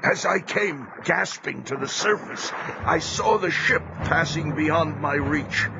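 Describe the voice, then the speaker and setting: A man's voice: on the high side, slow pace, gravelly, very noisy. An actor playing a reflective seaman who narrates his boyhood adventure as scripted audio drama. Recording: dull audio with music behind.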